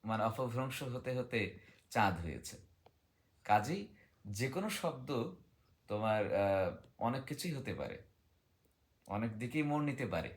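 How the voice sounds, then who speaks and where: A man's voice: mid-range voice, big pitch swings, measured pace, long pauses. A man speaking as a guest in a book discussion, explaining in a teacherly way, sometimes reading aloud and sometimes posing questions he then answers.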